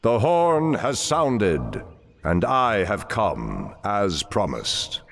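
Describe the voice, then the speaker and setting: A man's voice: on the low side, wildly swooping pitch, very slow, gravelly, full character voice. A man playing an ancient, solemn and commanding night elf archdruid in a fantasy game, speaking in a grave, stately way. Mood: stern, commanding. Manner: grave, stately, archaic phrasing. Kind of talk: fantasy video game cutscene acting.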